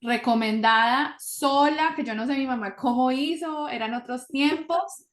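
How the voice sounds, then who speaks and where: A young woman guest with a fairly high voice tells her life story on a podcast, animated and quick to add little fillers. She grew up in South Florida after being born in Colombia. The sound rings in an echoey room. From born in Colombia, raised in South Florida. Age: young adult. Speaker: guest, school psychologist. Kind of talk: casual interview.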